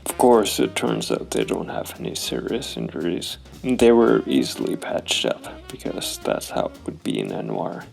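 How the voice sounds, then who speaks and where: A man narrating his solo tabletop role-playing game, thinking aloud in a deliberate, analytical way. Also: low voice, big pitch swings, creaky, a little mellow, faint music behind.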